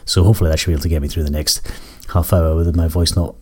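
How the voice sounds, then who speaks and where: A Scottish man hosting a music podcast, croaky from a cough, chatting in a rambling, self-correcting, apologetic way between tracks. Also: sits low, level tone, rapid-fire, darkish tone. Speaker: podcast host. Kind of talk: casual radio-style chat between tracks.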